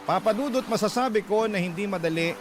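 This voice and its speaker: Male radio storyteller: fairly high voice, scripted dramatic letter reading over clear music. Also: fast. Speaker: host and letter reader.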